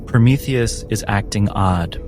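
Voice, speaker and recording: A man acting as game master, narrating a tabletop roleplaying game dramatically in deliberate, measured phrases. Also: lowish voice, music in the background.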